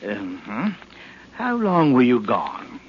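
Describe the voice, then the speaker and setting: An actor playing a stolid police sergeant, questioning in a measured, official way in 1940s radio style. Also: male voice, medium pitch, wildly swooping pitch, exaggerated voice. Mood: quizzical. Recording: muffled, noisy 1940s radio recording.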